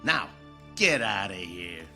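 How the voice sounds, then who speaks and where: An actor playing an impatient, sardonic newspaper editor in a radio drama, bossy and gruff in manner. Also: male voice, low-pitched, big pitch swings, slow pace, pushed and bright, soft background music, full character voice. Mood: impatient, commanding. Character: brusque, impatient male newspaper editor giving assignments.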